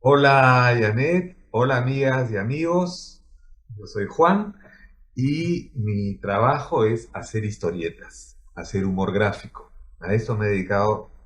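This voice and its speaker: A man, calmly telling about his own career. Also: mid-range voice, measured pace. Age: 70s. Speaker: cartoonist guest. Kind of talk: personal storytelling.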